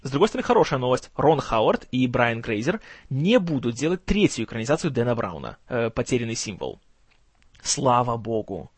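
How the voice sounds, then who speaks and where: A man hosting a film news show in casual commentary, his voice strained and kept low in volume, with a gloomy, wry, sarcastic manner and some stumbling over words. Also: very animated, brisk, slightly rough, no room sound. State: strained, tired voice, can't speak loud.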